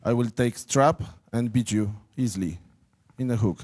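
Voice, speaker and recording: A man's voice: medium pitch, normal speed, a little mellow. A man whose first language is Polish, an arm wrestler talking back boastfully in broken English at a press conference. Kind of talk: press conference trash talk.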